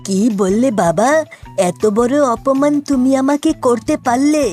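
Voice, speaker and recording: A woman's voice: sits high, expressive, measured pace, mellow. A woman voicing a cheeky, aggrieved young son in a cartoon, whiny and exclamatory. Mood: indignant. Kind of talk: cartoon dialogue dubbing. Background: music.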